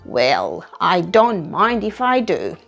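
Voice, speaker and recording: A mischievous, happy-go-lucky pet dog voiced by a woman reading a storybook. He is playful and expressive, with animated swings in pitch and music behind him. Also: slow pace, gravelly, highs cut off, exaggerated voice. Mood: smug.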